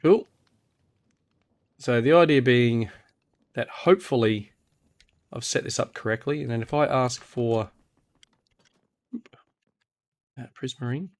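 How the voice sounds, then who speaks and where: A man with an average-pitched voice hosts a casual gaming walkthrough. He sounds unwell, with a husky and slightly nasal tone. He talks in a hesitant way, working things out aloud. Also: a little slow, pauses often. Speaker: host of a gaming series. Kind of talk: casual game walkthrough commentary.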